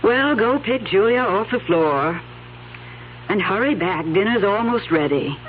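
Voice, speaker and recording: An actress playing an impatient, uneasy wife in an old-time radio drama. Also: female voice, mid-range voice, lively intonation, measured pace, narrow, tinny audio, slight background noise, close to an everyday voice. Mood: impatient, dismissive. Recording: thin vintage radio recording with faint music.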